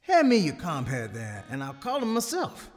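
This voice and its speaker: An actor playing a boastful, roguish old former mage in a sitcom audio drama, talking in a folksy, self-important way. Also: male voice, fairly high pitch, wildly swooping pitch, long reverb tail, soft background music. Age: older. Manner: folksy, unhurried, self-aggrandizing.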